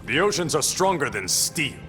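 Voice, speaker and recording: Proud ocean king for a fighting game: commanding, formal, animated pitch, over loud music. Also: normal speed. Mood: commanding, threatening. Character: proud, stern warrior king of an undersea kingdom. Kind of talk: video game voice acting.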